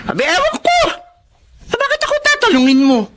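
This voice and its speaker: Casting: middle-aged Filipino mom, scolding and brisk, bright edgy voice, lively intonation. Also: female voice, very high-pitched, exaggerated voice. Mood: fussing. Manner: fussy, scolding. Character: brisk, nagging mother of grown children. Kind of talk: Filipino radio drama acting.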